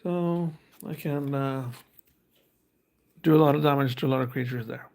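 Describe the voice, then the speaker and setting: A man's voice: medium pitch, moderate pace, gravelly, darkish tone. A man, hosting a casual unboxing and reading card text aloud in a hesitant, thinking-aloud way. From Norway. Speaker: host.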